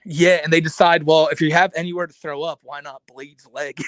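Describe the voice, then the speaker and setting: Podcast guy rambling thru a story, laughs a lot, kinda high voice, dry close mic. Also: brisk, dry recording. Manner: animated storytelling, laughs often, lots of filler words. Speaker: podcast co-host. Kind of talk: casual chat.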